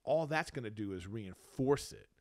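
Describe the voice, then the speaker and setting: A man's voice: fairly low pitch, expressive, moderate pace, a little mellow. A man of about fifty co-hosting a podcast, giving advice in casual, rambling, emphatic explanations. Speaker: podcast co-host.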